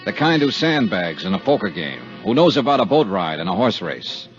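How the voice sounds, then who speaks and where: An American actor playing a stubborn, sardonic investigator in a 1950s radio detective drama, with a wry, clipped, hard-boiled delivery. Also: male voice, fairly low pitch, very animated, a little fast. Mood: wry, brooding. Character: stubborn, sardonic male insurance investigator narrating his case. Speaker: lead actor and narrator. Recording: dull 1950s radio recording with music.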